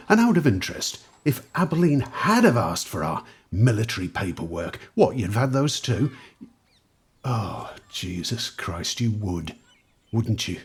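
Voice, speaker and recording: A distrustful, angry man in an audio drama. His voice is very husky and mid-pitched, jumping around with lively intonation. He is sarcastic, sweary and presses like an interrogator. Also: exaggerated voice. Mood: sarcastic anger. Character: suspicious, angry time-travelling man, sarcastic and accusing. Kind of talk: naturalistic audio drama acting.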